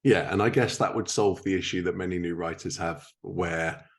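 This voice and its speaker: A man's voice: deep voice, fairly even intonation, normal speed, creaky. A man hosting a podcast, chatting casually and agreeably as he interviews a guest. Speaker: podcast host.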